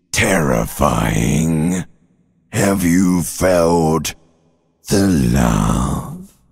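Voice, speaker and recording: Abyssal gravelly primordial brain creature. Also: male voice, rumbling low, heavily acted. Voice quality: gravelly, raspy, guttural croak. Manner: slow, drawn-out, mock-soothing, sardonic crooning. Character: ancient reptilian brain, seductive, menacing, world-weary inner voice. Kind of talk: theatrical video game voice acting.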